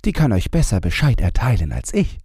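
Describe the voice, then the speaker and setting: A man's voice: lowish voice, wildly swooping pitch, a little fast, mellow, breathy edge, no room sound, studio quality, pitched above the performer's own voice, full character voice. A man voicing a kindly, sympathetic old Lapland woman in a fairytale audiobook. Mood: pitying, helpful. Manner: sympathetic, advising.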